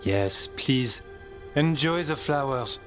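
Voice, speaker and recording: An actor playing a gentle, earnest, nature-loving warrior man in a video game, speaking in short, soft-hearted phrases. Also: medium pitch, expressive, slow pace. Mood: tender, wondering. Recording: clear music behind the voice.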